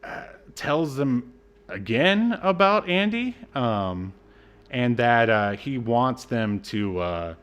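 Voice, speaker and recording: A man co-hosting a podcast, casually reviewing a film in a halting, wryly exasperated way. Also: medium pitch, animated, fairly bright tone, smooth, highs cut off. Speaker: podcast co-host.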